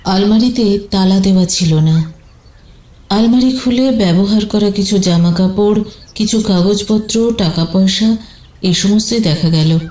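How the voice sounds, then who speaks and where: A woman's voice: mid-range voice, a little slow, dark tone, echoey room, rough recording. A woman narrating a scripted detective audio story in a dramatic storytelling style.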